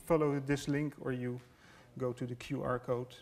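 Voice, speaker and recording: A middle-aged man giving a live demo talk in a lecture hall, hesitant and halting, often restarting his words. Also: medium pitch, little pitch movement, darkish tone. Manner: hesitant, halting delivery with repeated word starts. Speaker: app developer presenting a demo. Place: large lecture hall, on stage at a lectern. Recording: noisy live hall recording.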